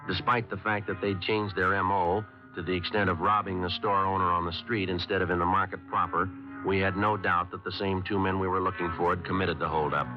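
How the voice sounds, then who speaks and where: Guy playing a flat terse cop narrating, real low voice, dull old radio sound. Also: fairly quick, music in the background, lightly acted. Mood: matter-of-fact. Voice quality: clipped, flat deadpan delivery. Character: terse male police sergeant narrating cases. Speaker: police detective and narrator. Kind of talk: 1950s radio drama acting. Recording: muffled restored 1950s radio broadcast.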